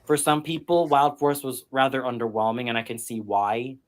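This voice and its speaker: A man in his thirties giving measured opinions during a casual livestream chat. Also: mid-range voice, flat delivery. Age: 30s. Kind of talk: casual commentary.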